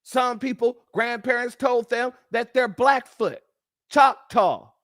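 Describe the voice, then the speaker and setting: A man's voice: very high voice, slow pace, fairly bright tone, no echo, clean studio sound. A man hosting a livestream, delivering fiery, emphatic and profane preaching-style commentary and thanking donors by name. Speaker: livestream host.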